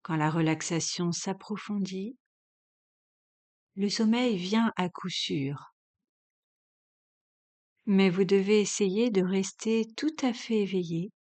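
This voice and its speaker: A woman with a mid-pitched voice guides a relaxation session as a yoga instructor. She speaks very slowly and calmly, leaving long pauses between measured instructions.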